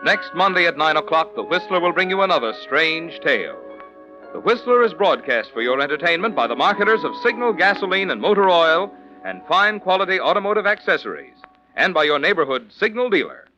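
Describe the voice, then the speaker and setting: A male 1940s radio announcer with a fairly high, lively, bright voice. He reads scripted copy in a formal, emphatic way, on a muffled vintage recording with faint music. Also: fairly quick.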